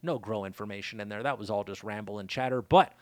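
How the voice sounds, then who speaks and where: Laid-back man with a raspy throat. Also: lowish voice, quick pace, no echo. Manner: laid-back, loose, chatty with shout-outs. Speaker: podcast host. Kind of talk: casual rambling monologue. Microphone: studio mic.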